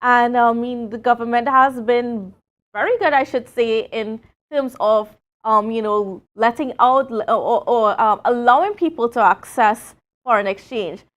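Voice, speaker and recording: A Tobagonian woman with a high voice hosts a live morning talk show from the studio. She chats loosely, with plenty of fillers, and laughs easily between points. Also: measured pace, muffled recording.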